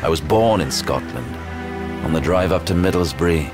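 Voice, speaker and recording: An actor playing a battle-hardened detective inspector in an audio drama, terse, wry and sceptical. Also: male voice, a bass voice, expressive, moderate pace, music in the background. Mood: brooding narration. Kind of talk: full-cast audio drama acting with first-person noir narration.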